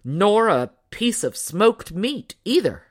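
A woman voicing a blustering, loud lumberjack father in a storybook reading. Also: mid-range voice, very animated, slow, pitched above the performer's own voice. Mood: grumbling. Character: angry, booming lumberjack father of a giant baby.

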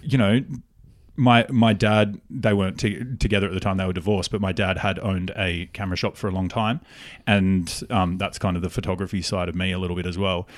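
Aussie male podcast guest, thirties, lower voice, dry close sound, loose anecdotal delivery. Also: fairly even intonation, brisk, no echo. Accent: Australian. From Australia. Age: 30s. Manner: rambling, informal storytelling with slang. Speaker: podcast guest, cookbook publisher. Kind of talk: candid casual interview chat.

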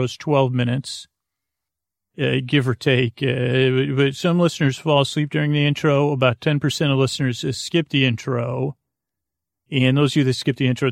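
A man with a gravelly, creaky voice in a dry, close recording. He hosts a bedtime podcast, meandering from tangent to tangent with hesitant restarts. The delivery is lulling and soothing, with very little rise or fall in pitch. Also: dry recording. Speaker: podcast host. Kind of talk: rambling bedtime monologue to lull listeners to sleep.

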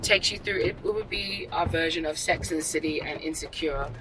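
Young woman, candid animated storyteller, lots of restarts and fillers, street noise in the background. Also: sits low, a little fast. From Australia. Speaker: actor and writer. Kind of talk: casual interview chat.

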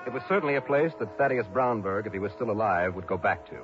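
A man acting as a brisk, hard-boiled investigator who narrates his case in an emphatic 1960s radio drama style. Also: lowish voice, animated, dull audio, natural voice. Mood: brisk narrating. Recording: band-limited old radio recording, music behind.

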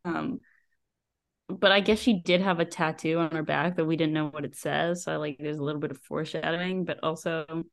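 A woman's voice: sits low, steady tone, brisk, creaky. A woman co-hosting a book podcast in a casual chat, giving blunt, jokey opinions.

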